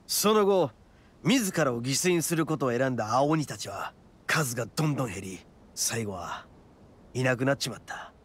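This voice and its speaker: An actor playing a brash, proud young male oni gang boss in a video game, with rough, cocky, emphatic speech. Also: medium pitch, lively intonation, moderate pace. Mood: earnest, proud. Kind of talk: dramatic video game voice acting.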